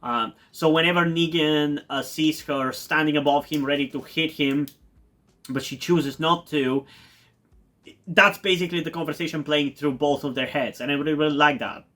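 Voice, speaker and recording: A man's voice: on the high side, fairly even intonation, moderate pace, sharp tone. Rambling Bulgarian man reviewing a show. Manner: rambling, many fillers and restarts; enthusiastic, repeats words for emphasis. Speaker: TV review YouTuber. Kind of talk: casual spoken TV review.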